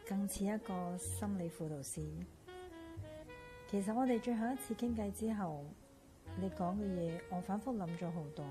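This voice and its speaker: A woman with a Hong Kong Cantonese accent acting a sincere, wistful former client in a radio play, speaking in a deliberate, reflective way. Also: medium pitch, natural voice. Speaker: actress in a stage radio drama.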